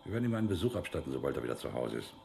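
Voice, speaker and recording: An actor playing a sly, bullying military interrogator in a radio drama, mock-friendly and then sharply commanding. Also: male voice, a bass voice, big pitch swings, very fast, vocal fry, a little mellow, heavily acted. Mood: sardonic.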